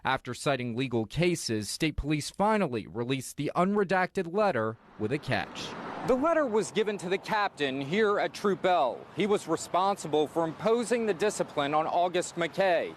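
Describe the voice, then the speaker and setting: A man's voice: fairly high pitch, animated, normal speed, hardly any pauses, slight background noise. A man with an American accent reading a scripted investigative news report as a TV reporter.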